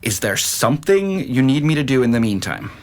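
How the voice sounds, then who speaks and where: A man's voice: mid-range voice, very animated, fairly quick, lightly acted. An actor playing a supportive but doubtful family man, plain-spoken and questioning, in an audio drama. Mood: easygoing. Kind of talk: naturalistic audio drama dialogue.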